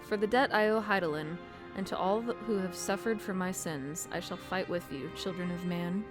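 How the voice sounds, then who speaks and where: A woman's voice: on the low side, normal speed, music in the background, close to an everyday voice. A woman voicing an ancient, proud female dragon burdened by guilt, speaking in grave, archaic phrases for a fantasy game. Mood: solemn resolve. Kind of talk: fantasy game dialogue reading.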